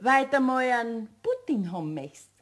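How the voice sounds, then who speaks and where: A woman's voice: fairly high pitch, sing-song. An actress playing a bossy, motherly, teasing middle-aged sister in a children's radio play, with a homely Bavarian accent. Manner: coaxing, brisk, homely.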